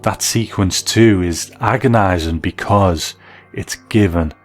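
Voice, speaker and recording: Man, deep voice, host and reviewer, scripted documentary narration, faint music bed. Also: slow.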